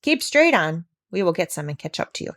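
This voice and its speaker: A woman's voice: fairly low pitch, sing-song, fairly quick, a bit bright, no echo, clean studio sound. A woman voicing a group of friendly, helpful porpoises in a storybook. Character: cheerful helpful sea porpoises.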